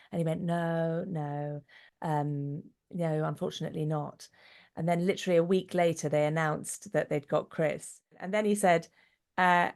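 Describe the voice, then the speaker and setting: A middle-aged woman giving a casual interview, telling stories in a chatty, animated way. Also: fairly low pitch, gravelly. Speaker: interview guest, actress and director.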